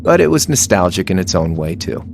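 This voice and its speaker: A man's voice: sits low, sing-song, a little fast, vocal fry, a little hiss or hum, exaggerated voice. An actor playing a cocky, laid-back young male rebel in a video game, teasing and flippant in a casual way. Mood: wry, reflective. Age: young adult.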